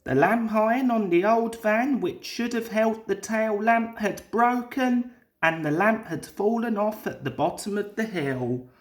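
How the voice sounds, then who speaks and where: A woman reading a children's story aloud in a measured, deliberate way, as a scripted storybook narration. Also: medium pitch. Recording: echoey room.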